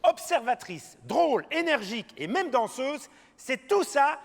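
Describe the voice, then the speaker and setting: A man introduces a comedian from the stage in formal announcer style. His voice sits high and moves a lot. The sound carries a long hall reverb from a big theater. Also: sing-song, a little slow, creaky. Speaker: gala announcer. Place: large theater.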